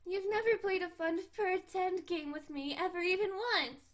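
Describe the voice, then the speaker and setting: Lady doing a playful catgirl, super high and pouncy, teasing. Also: normal speed. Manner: bouncy, teasing, shouts cat growls. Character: playful excitable young cat girl.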